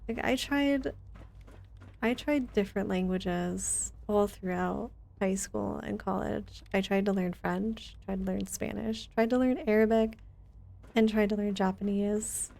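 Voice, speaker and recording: A woman's voice: medium pitch, steady tone, a little slow, creaky, darkish tone. A woman chatting casually on a game livestream, conversational and rambling as she thinks aloud. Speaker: game streamer. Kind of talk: casual livestream chat while playing a game.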